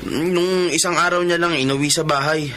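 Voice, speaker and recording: An amiable, slightly sheepish young man. His voice is a touch high and chatty, in casual radio drama style, with clear noise in the background. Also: fairly even intonation, normal speed, sharp tone. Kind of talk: Tagalog radio drama acting.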